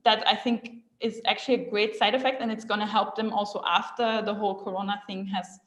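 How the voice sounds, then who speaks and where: A woman's voice: medium pitch, little pitch movement, fairly quick, roomy sound. A young woman from Austria, guest on a marketing web show, chatting casually with lots of fillers and frequent laughs. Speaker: guest speaker. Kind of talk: casual chat.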